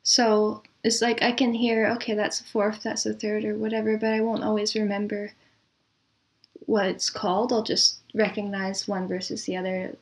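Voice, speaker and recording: A woman's voice: mid-range voice, level tone, normal speed. Chatty woman in a casual interview. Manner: chatty, laughs easily. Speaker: interview guest, composer and arranger. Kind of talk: casual conversational interview answers.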